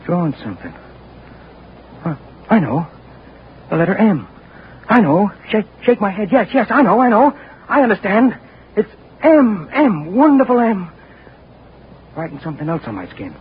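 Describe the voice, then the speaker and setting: A man's voice: on the high side, big pitch swings, normal speed, long pauses. A desperate trapped man, old radio. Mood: puzzled. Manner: pleading, urgent, talks to himself. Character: wounded man trapped in a silent body, desperate. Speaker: actor in a radio drama. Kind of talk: 1940s radio acting, inner monologue. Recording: muffled old radio recording with hiss.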